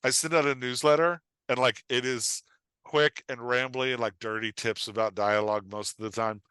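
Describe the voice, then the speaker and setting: A man's voice: medium pitch, steady tone, moderate pace, sharp tone, clean tone, dry recording. A man talking casually as a podcast guest and writing coach, rambling as he explains, peppering it with "like" and imagined quotes. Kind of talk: casual conversation, explaining and joking.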